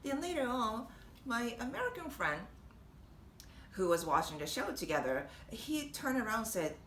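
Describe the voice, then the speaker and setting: A young woman with a Japanese accent, chatting warmly and encouragingly to camera, laughing often. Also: fairly high pitch, sing-song, normal speed. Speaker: vlogger and language teacher. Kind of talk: casual encouraging talk to camera. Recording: echoey room with faint noise.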